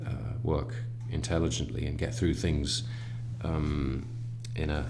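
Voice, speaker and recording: Deep-voiced man, thoughtful and halting. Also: flat delivery, noisy background. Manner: thoughtful, halting, with many fillers and restarts. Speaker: cellist in a string quartet, interview guest. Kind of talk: reflective conversational interview.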